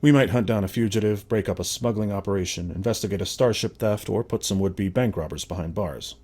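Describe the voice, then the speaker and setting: A steady space lawman for a video game. His voice sits fairly low with a rough, husky edge. He talks plainly and matter-of-factly, with a dry sense of humor. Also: brisk. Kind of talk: video game dialogue acting.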